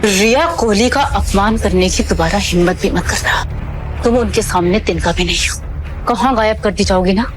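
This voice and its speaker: A woman's voice: mid-range voice, moderate pace, over music, lightly acted. A woman playing a menacing, sneering aide in a Hindi audio drama, threatening in manner.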